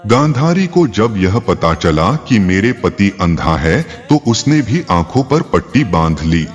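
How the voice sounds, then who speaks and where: A man narrating a scripted religious tale as a storyteller, in a measured, explanatory way. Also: sits low. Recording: long reverb, faint music behind. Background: faint background music.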